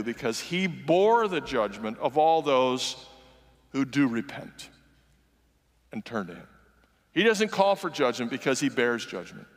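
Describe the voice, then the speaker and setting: Older man preaching in a reverberant sanctuary. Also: fairly high pitch. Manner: earnest, conversational preaching with animated gestures, walking the stage. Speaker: guest preacher. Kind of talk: sermon. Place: large church sanctuary. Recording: long reverb of a large church hall.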